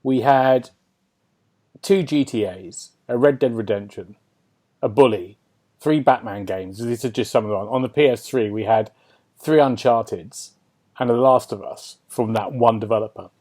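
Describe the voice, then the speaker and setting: Guy hosting a casual games podcast, medium pitch, kinda rambles as he thinks out loud. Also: moderate pace. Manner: rambling, thinking aloud, seeking agreement with tag questions. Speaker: podcast host. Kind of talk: casual gaming podcast discussion.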